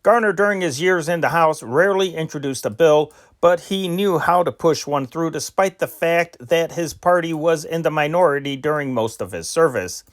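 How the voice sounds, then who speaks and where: A man reading old newspaper dispatches aloud in an unpolished, measured way, with occasional slips. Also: on the high side, lively intonation. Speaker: news reader.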